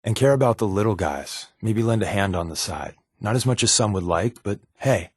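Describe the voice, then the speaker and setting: Wry, husky, low-voiced undercover agent. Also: male voice, lively intonation, moderate pace, no echo, studio quality. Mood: earnest, measured. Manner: wry, conversational, confiding. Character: wry, guarded male undercover resistance agent companion. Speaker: video game voice actor. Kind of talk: naturalistic video game companion dialogue.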